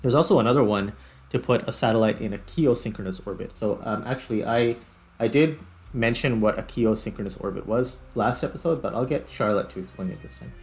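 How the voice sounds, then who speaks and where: A man's voice: lowish voice, a little fast. A man hosting a gaming video with casual, chatty, informal commentary. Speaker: host of a gaming video series. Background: music.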